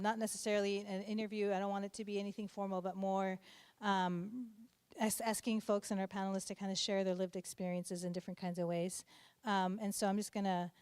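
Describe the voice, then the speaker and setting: A woman moderating a university panel, speaking in a relaxed, informal way with many fillers. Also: mid-range voice, clean tone. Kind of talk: casual spoken introduction. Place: university event hall.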